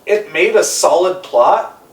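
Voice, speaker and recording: Male panelist, slightly high voice, halting and opinionated, casual swearing, recorded in an echoey room. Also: lively intonation. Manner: halting, repetitive, profane. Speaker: panelist on a film ranking show. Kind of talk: casual opinionated group debate. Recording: echoey room, distant mic, faint noise.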